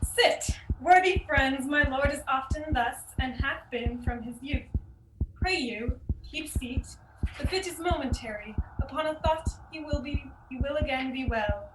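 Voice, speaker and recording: A woman's voice: high-pitched, a little slow. A young woman playing a commanding, scornful queen in a Shakespearean radio play, with theatrical delivery. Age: young adult. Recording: distant, echoey mic with clear noise.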